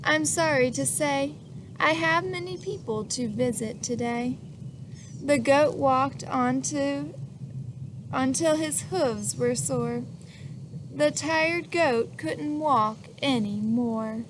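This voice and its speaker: Woman storybook reader, high and unhurried, lively with little listeners, noticeable background hiss. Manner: animated, asks the listener questions, acts out sneezes. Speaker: children's book reader. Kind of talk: reading a picture book aloud to children.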